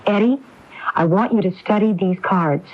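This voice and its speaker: An actress playing a resolute, determined woman in a 1980s radio mystery, speaking insistently and deliberately. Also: medium pitch, close to an everyday voice. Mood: intent. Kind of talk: 1980s radio drama acting. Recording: dull, muffled AM radio recording.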